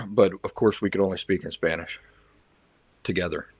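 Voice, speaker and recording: A man's voice: low-pitched, moderate pace, gravelly, husky, no echo. A man hosting a livestream, chatting casually with viewers and reacting to their comments, sometimes switching into learner's Spanish. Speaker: livestream host.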